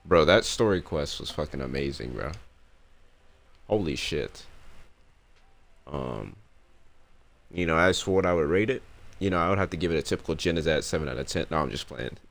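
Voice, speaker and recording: A young man streaming his reaction to a game, excited and slangy, swearing freely. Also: extremely low pitch, moderate pace, vocal fry, pauses often. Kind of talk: casual reaction commentary.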